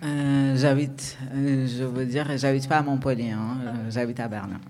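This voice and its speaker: A man's voice: medium pitch, steady tone, normal speed, a little mellow. A man in his thirties from Iran, a native Persian speaker with a Persian accent, answering interview questions as a dance artist in a searching, reflective way full of hesitations.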